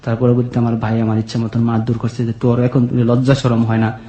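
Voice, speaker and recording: A man's voice: mid-range voice, completely flat delivery, fast, warm tone. A man with a colloquial Bangladeshi accent telling his own life story as a guest, earnest and plaintive. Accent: Bangladeshi, colloquial regional. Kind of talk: personal confessional storytelling. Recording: roomy echo on the voice.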